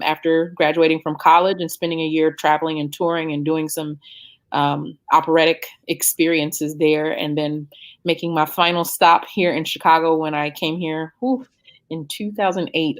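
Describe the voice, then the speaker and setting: A woman in her thirties, an opera singer answering interview questions in a reflective, conversational way with frequent fillers and restarts. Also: low voice.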